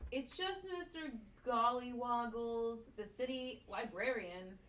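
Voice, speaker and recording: A woman's voice: fairly high pitch, takes it slowly, fairly bright tone, slight background noise. A woman playing a teasing, breezy office colleague in a sitcom table read, wry and casual. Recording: echoey room, distant from mic.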